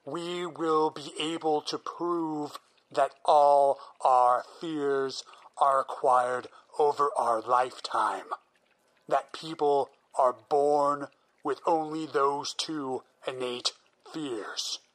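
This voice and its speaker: A man's voice: on the high side, steady tone, slow pace, a bit bright, rough recording. An actor playing a single-minded male scientist who explains his plans in an earnest, persuasive way. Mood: eager. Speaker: researching psychologist. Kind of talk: audio drama acting.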